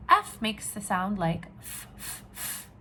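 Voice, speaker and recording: Woman teacher, children's read-aloud, bright tone, unhurried pace, expressive questioning delivery, faint background noise. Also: medium pitch. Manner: expressive, questioning, drawn-out emphasis.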